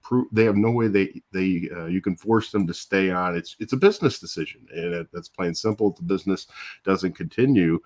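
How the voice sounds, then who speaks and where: An older man with a low voice, hosting a casual morning live stream and chatting in a rambling way, chuckling between thoughts. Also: brisk, a little mellow. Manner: rambling, chuckles often, restarts. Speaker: YouTube host. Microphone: different audio device that lowers voice.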